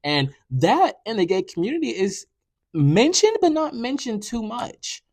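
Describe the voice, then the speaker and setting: Young male podcast host, 20s, animated and sassy, very dry close mic. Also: measured pace, no echo. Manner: animated, sassy, conversational with frequent "you know what I'm saying"; rises into loud high outbursts when worked up. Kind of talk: casual solo podcast talk.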